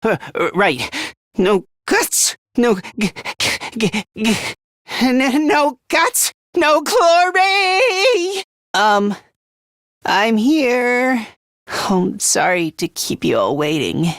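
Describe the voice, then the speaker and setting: Anxious, self-loathing teen boy for a video game; hesitant, apologetic, slow, dry close sound. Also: high voice, very animated, fairly bright tone, no room sound, exaggerated voice. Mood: nervous, flustered. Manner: stammering, hesitant, over-apologetic. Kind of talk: English video game voice acting.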